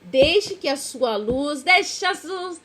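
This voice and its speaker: Chatty Brazilian woman, lively high voice. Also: slow pace. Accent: Brazilian Portuguese. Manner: chatty and rambling, self-correcting, laughing at herself. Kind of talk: casual devotional vlog talk.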